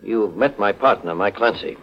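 An actor playing a calm, kindly older gentleman detective, courteous and measured, in 1940s radio style. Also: lowish voice, close to an everyday voice. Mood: inquiring. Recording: dull vintage radio recording.

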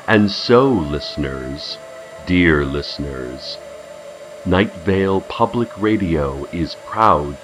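An actor playing a solemn community radio host, delivering portentous, measured narration in a fiction podcast. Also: male voice, sits low, fairly bright tone, heavily acted, low-quality recording.

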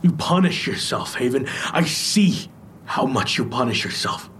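An actor in an audio drama playing an intense, wounded trauma survivor, speaking in an earnest, insistent, confessional way. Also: male voice, medium pitch, very animated, measured pace, fairly bright tone, slight background noise. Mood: pained, insistent. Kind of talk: naturalistic audio drama acting.

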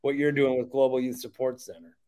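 A man's voice: mid-range voice, little pitch movement, brisk, a little mellow. A man hosting a podcast, chatting casually and prompting his guest with emphatic remarks. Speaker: podcast host. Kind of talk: casual interview chat.

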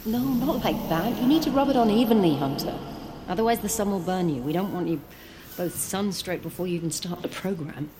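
A woman's voice: on the low side, lively intonation, brisk, close to an everyday voice. A woman playing a stern, controlling mother in an audio drama, commanding and scolding. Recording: clearly noisy ambience behind.

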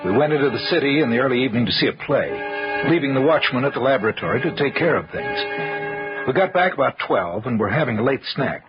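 Man playing an earnest lab assistant, expressive. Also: medium pitch, quick pace, lightly acted. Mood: grave storytelling. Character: earnest male lab assistant narrating a suspense tale. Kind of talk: old-time radio drama acting. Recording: muffled vintage radio recording, music behind.

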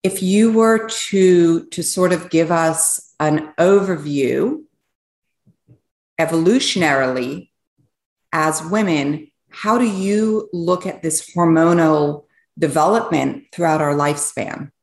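Low-voiced middle-aged woman, echoey room. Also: animated, slow pace. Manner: enthusiastic, rambling questions. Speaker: podcast co-host. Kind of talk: podcast interview.

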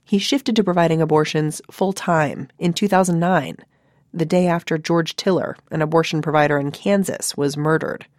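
A woman's voice: sits low, moderate pace, a little mellow, no echo. An American woman reading scripted radio documentary narration on a close studio microphone.

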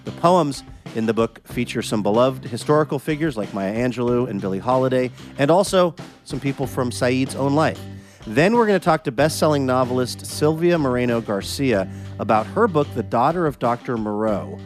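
A man hosting a radio show in a casual, chatty way, his voice a bit hoarse from a cold. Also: mid-range voice, animated, moderate pace, faint music behind. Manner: upbeat, chatty, jokey.

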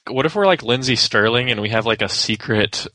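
A man co-hosting a podcast, trading casual jokes and playful riffs with his co-hosts. His voice is bright and forward, recorded close and dry with no room sound. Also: mid-range voice, animated, a little fast, dry recording. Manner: joking, playful, riffs on ideas. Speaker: podcast co-host. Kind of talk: casual chat.

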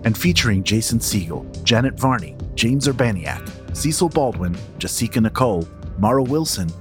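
A man's voice: medium pitch, lively intonation, normal speed. A man reading a scripted, dramatic trailer narration. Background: music under the voice.

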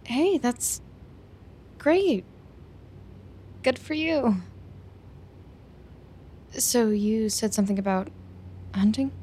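An actress playing an intense, lonely young woman in an audio drama, dramatic and deliberate, with a dark, needy edge. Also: fairly high pitch, expressive, slight background noise. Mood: eager, awkward. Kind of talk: audio drama acting with confiding inner monologue. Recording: music behind the voice.

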